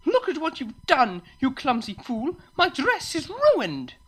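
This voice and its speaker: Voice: high-pitched, huge pitch swings, measured pace, a bit bright, voice pushed up, heavily acted. A reader voicing a haughty, scornful upper-class unicorn mare in a story. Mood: outraged.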